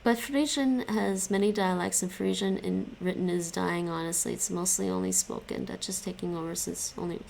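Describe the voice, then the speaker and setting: A woman's voice: on the low side, steady tone, normal speed, vocal fry, few pauses, a little mellow, slight background noise, low-quality recording. A young woman chatting casually with stream viewers while she studies languages. She stops and restarts often and laughs a lot. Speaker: live streamer studying languages.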